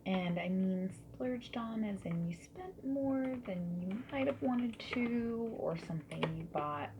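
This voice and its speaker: A woman speaking softly and breathily, often dropping to a whisper, in a gentle, friendly ASMR survey-interviewer roleplay. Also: mid-range voice, expressive. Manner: gentle, friendly, unhurried customer-service politeness. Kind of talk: soft-spoken ASMR roleplay. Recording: lo-fi, noisy with keyboard typing and clicks. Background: mechanical keyboard typing and clicks.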